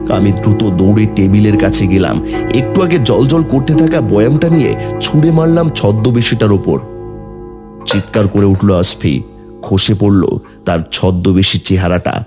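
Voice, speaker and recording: A man narrating a scripted horror story in the first person, in a dramatic, suspenseful manner. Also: on the low side.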